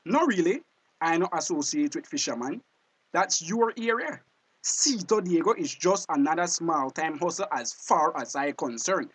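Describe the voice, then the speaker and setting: An actor playing a haughty, impatient hustler in a screen drama, brash and demanding, with a Belizean accent. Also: male voice, fairly high pitch, expressive, normal speed, a bit bright, breathy edge, no room sound. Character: pushy, impatient male hustler, haughty and suspicious. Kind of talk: acted screen drama dialogue.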